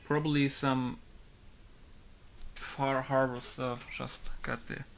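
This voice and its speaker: A young man talks loosely over his game, drifting between thoughts and letting sentences fade to a mumble. His mid-pitched voice carries a lot of gravelly fry. He sounds unhurried and offhand throughout. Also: little pitch movement, a little hiss or hum. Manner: rambling, laid-back, often trails off quietly. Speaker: video game modder. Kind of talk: casual commentary over gameplay.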